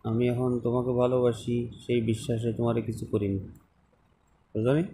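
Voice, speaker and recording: A man's voice: medium pitch, monotone, normal speed, warm tone, a little hiss or hum. A young man chats casually on a phone call recording, questioning and insistent. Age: young adult.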